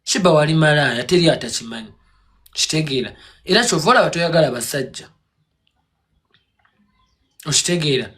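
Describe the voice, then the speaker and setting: Sex: man. Pitch: high. Style: heated, scolding rant. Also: expressive, a little fast, pauses often, a bit bright. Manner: scolding, mocking, confrontational. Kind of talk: heated rant on a live stream.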